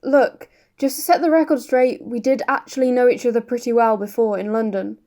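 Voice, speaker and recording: A woman's voice: fairly high pitch, fairly even intonation, brisk, warm tone, close to an everyday voice. A woman voicing a weary villager under suspicion who sounds exasperated and defensive in an amateur audio drama.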